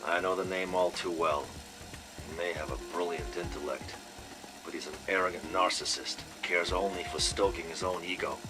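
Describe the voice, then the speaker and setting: A man's voice: deep voice, steady tone, normal speed, music in the background, lightly acted, low-quality recording. An actor playing a stern, protective intelligence team leader in a video game, grave and controlled in his delivery. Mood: stern, worried. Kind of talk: video game audio log acting.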